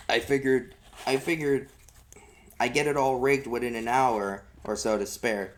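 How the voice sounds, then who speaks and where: A man reading a first-person novel aloud as an amateur audiobook narrator, in folksy storytelling style. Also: mid-range voice.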